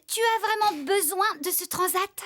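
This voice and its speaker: A woman voicing a bossy, tidy and caring farmer in a children's cartoon, with a brisk, expressive manner. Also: extremely high pitch, soft background music. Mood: bossy, exasperated. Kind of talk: French children's cartoon dubbing.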